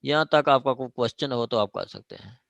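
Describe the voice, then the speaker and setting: पाकिस्तानी लहजे वाला पुरुष लॉ लेक्चरर, सूखी आवाज़. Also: male voice, medium pitch, fairly quick, fairly bright tone, no echo, muffled recording. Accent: Pakistani. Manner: explanatory, with frequent check-in tags and examples. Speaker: law lecturer. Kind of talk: online law lecture, mixing Urdu with English legal terms.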